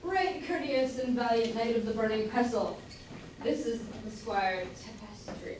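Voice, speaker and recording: Courteous squire, female player, slightly high voice, declamatory amateur stage comedy, picked up from afar. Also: unhurried, darkish tone, lightly acted. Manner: declamatory, reading archaic verse. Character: courteous, florid squire announcing a castle's hospitality. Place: live staged reading in an echoey room. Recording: roomy, distant mic, faint noise.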